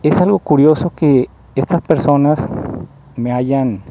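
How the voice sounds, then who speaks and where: A man with a very dark, mellow voice. He talks slowly and earnestly, pausing and rewording his phrases as he goes. His pitch sits at an ordinary middle level. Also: a little hiss or hum, poor audio. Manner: slow, halting, rephrases often; earnest and explanatory. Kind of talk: spoken monologue, personal complaint.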